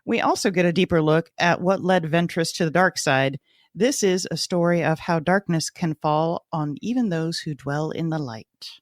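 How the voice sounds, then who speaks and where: A woman's voice: deep voice, normal speed, no echo, studio quality. A woman co-hosting a book club podcast, talking in a conversational style. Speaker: podcast co-host. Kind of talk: casual book club discussion.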